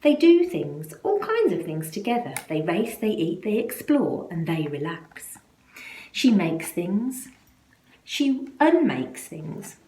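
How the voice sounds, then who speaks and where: A woman reads a picture book to children with very lively, swooping intonation. She takes her time, stressing the action words dramatically. Her voice rings in a roomy space with a little noise behind it. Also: on the low side. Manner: deliberate, dramatic phrasing with emphasis on action words. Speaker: school staff member reading a picture book aloud. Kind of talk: expressive children's storybook read-aloud. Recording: roomy, echoey, faint background noise.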